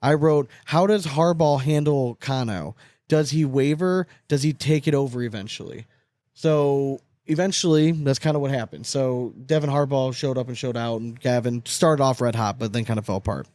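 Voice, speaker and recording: Man, co-host, middle pitch, dry close sound; animated, blunt, swears freely. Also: normal speed, no echo. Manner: animated, opinionated, swears often. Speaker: podcast co-host. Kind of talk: casual sports chat.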